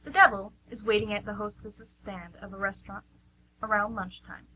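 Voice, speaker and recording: A woman's voice: medium pitch, steady tone, normal speed, pushed and bright, husky. A woman reading scripted stage directions as the narrator of an amateur radio play. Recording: noisy amateur radio recording with hum.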